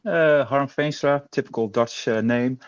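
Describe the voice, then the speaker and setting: A man's voice: medium pitch, a little slow, smooth, no room sound. A man, a consultant, introducing himself tersely on a video call. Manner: terse, clipped. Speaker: consultant, panel guest. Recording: dull dry video call audio.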